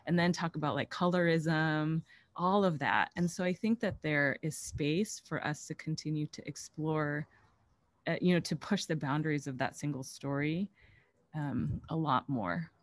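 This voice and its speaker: A woman's voice: low voice, moderate pace, no echo. A woman in her thirties on a video call as a panelist author, talking earnestly outdoors with many likes. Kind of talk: casual panel discussion. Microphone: webcam on video call.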